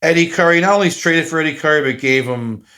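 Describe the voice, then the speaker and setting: A man's voice: a little high, quick pace, bright, forceful tone. A man in his forties talking alone on a podcast, rambling and self-deprecating, with lots of fillers and restarts. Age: 40s. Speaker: podcast host. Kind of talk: casual solo podcast monologue.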